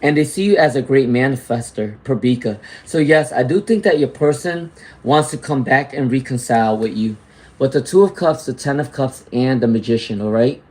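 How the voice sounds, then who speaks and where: A man doing a live tarot reading at a middling pitch. He talks to his viewers directly, greets people from the chat, and keeps checking in with "all right?" and "okay?" as he goes. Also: lively intonation, moderate pace, darkish tone. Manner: reads out viewer comments and greets chatters. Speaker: tarot reader.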